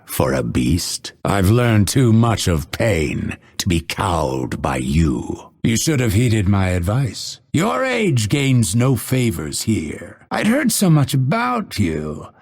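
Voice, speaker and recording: An actor playing a proud, cold, vengeful assassin in a video game, with a husky voice and measured, haughty, menacing delivery. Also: male voice, fairly low pitch, wildly swooping pitch, darkish tone, heavily acted. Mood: disdainful menace. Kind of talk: scripted game voice lines, theatrical delivery.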